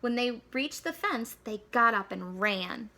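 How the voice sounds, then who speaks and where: A woman reading a children's picture book aloud as an expressive, animated storyteller. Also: on the high side, unhurried. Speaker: school staff reader.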